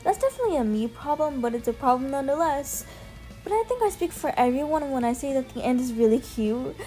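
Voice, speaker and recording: A woman giving casual, chatty commentary as a video host, informal and quick to swear. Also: high-pitched, animated, dark tone. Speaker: video host ranking game character demos. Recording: music behind the voice. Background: background music.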